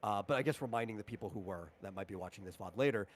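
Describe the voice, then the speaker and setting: A man's voice: fairly low pitch, very fast. A man talking casually as a game master on a stream, explaining things conversationally with asides.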